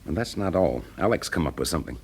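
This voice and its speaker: An actor playing a shrewd, methodical British fire investigator with clipped, probing questions in a classic radio drama. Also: male voice, rumbling low, animated, fast, a little mellow, husky, exaggerated voice. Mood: purposeful, reasoning.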